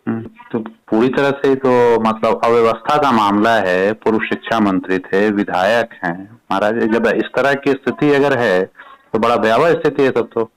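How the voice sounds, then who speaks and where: Male editor-interviewer, Bihari accent, lowish resonant voice, informal, short acknowledgements, telephone recording. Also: fairly even intonation, moderate pace, clear, resonant tone. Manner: frequent short backchannel acknowledgements. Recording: recorded phone call.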